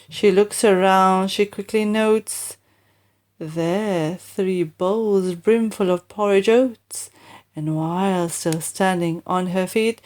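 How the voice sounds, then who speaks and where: A woman reading children's verse aloud in an expressive, theatrical, deliberate storytelling style with emphatic delivery. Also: mid-range voice. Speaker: audiobook reader.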